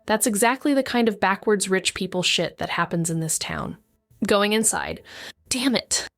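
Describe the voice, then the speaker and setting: A woman's voice: medium pitch, normal speed, fairly bright tone, no room sound, lightly acted. A wry, paranoid woman sleuth played by an actress narrates a noir audio drama. Her delivery is sardonic and brooding, with a bitter edge and a mid-range voice. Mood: sardonic, intent.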